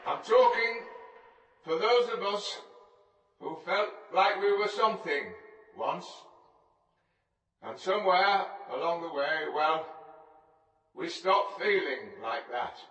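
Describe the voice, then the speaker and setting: Lonely stubborn northern english bloke, grieving, radio play, highish voice, big echoey space. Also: male voice, lively intonation, slow, long pauses, bright, forceful tone, far from the mic, full character voice. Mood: defiant, impassioned. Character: lonely, grieving, stubborn Lancashire man making a public stand. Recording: echoey hall, music behind, off mic.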